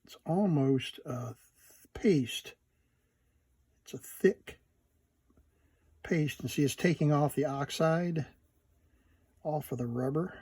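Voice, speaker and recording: A man gives a casual, hands-on repair demonstration for his video channel. His delivery is very slow, as if murmuring along to himself while he works. The voice is soft-edged and mellow, a little husky, with very little room sound. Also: a little high, no echo.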